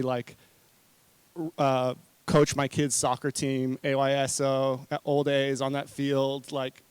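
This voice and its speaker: A man in his forties from New Zealand and Hawaii, speaking informally as a conference presenter in an earnest, conversational way, often halting and correcting himself. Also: medium pitch, smooth, dry recording. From New Zealand-born, raised in Hawaii. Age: 40s. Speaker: conference speaker, marketing consultant.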